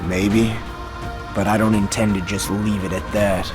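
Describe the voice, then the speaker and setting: An actor playing a stoic, blunt warrior in a video game, plain-spoken and nonchalant with a defiant streak. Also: male voice, fairly low pitch, little pitch movement, unhurried, close to an everyday voice. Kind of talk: scripted video game acting. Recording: music behind the voice.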